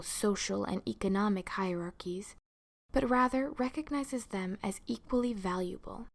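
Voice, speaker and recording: A woman reads a scripted educational narration in a formal, measured, carefully articulated manner. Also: mid-range voice, a little mellow. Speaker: lecturer, narrator of an educational video.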